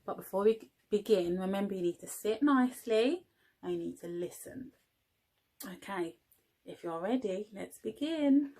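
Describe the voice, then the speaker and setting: A woman's voice: medium pitch, lively intonation, normal speed, mellow. A woman, an early years teaching assistant at home, encouraging young children and asking them questions as she reads a story.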